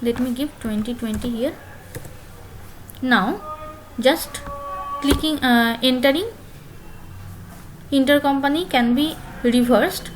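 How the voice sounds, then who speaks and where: A woman giving a spoken screen-share software tutorial as instructor, phrasing haltingly with many filler pauses and repeating phrases step by step. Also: high voice, faint music behind, rough recording.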